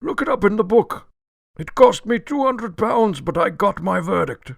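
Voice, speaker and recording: A litigious old gentleman in a radio play. The voice is high and gravelly, swooping widely as he declaims. He is pompous, crotchety and forever indignant. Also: animated, normal speed, dry recording, studio quality, exaggerated voice. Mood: boastful, triumphant. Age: older. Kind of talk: radio drama acting.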